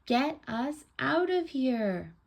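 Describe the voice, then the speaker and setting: A woman performs a headstrong little girl from a children's storybook, eager and loud. The voice is husky and heavily creaky, lifted above a low natural register. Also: expressive, brisk, heavily acted. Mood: yelling. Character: impulsive, stubborn young girl, bold and loud.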